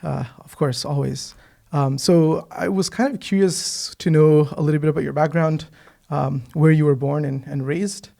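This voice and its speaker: Male podcast host, curious and eager, mellow tone, gravelly. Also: on the high side, fairly even intonation, moderate pace. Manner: curious, eager, uses many fillers. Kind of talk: casual podcast interview. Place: mosque studio.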